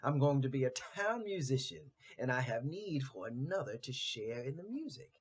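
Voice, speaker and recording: A man's voice: medium pitch, lively intonation, normal speed, breathy edge. A man voicing a kindly, upbeat donkey in a storybook tale, coaxing and friendly as he reassures others.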